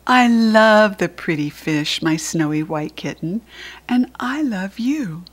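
A woman with an American accent voicing a loving animal mama in a picture book, tender, drawn-out and emphatic. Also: mid-range voice, lively intonation. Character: loving animal mama praising her baby's gift.

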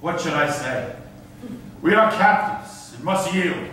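A proud, bitter captive ruler speaks solemn Elizabethan stage verse, acted by a man. The voice is high and very measured. It carries from far off through a heavily echoing hall. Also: lively intonation, pushed and bright, a little hiss or hum, heavily acted. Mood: resigned. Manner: solemn, declamatory. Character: defeated, bitter captive governor, proud and defiant. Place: theatre stage, indoors.

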